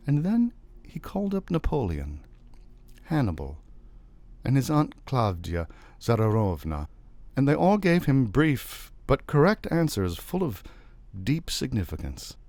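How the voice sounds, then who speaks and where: A man reading a literary short story aloud as an expressive narrator. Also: low-pitched, a little slow, no echo. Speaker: story reader.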